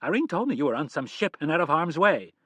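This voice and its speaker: A man's voice: mid-range voice, animated, a little fast, no echo, natural voice. A man voicing a fiery young wife who fires off demanding, accusing questions, in an audiobook reading. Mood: angry.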